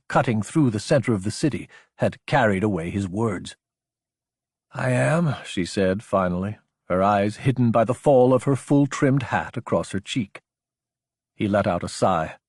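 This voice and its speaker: A man's voice: medium pitch, husky, no room sound, studio quality. A man narrating scripted dramatic fiction as an audiobook narrator.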